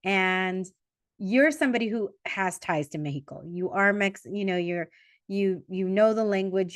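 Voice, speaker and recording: A woman's voice: medium pitch, dry recording, clean studio sound. Chatty woman in her forties. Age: 40s. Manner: chatty, quick asides and self-corrections. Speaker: podcast host. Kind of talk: casual interview chat.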